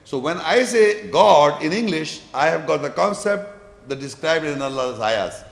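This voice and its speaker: A man's voice: on the high side, lively intonation, measured pace, vocal fry. Man, lecturer, explanatory, repeats himself, long hall reverb. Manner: explanatory, repeats words as he builds sentences; recites scripture verses in Arabic. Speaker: Islamic lecturer answering audience questions.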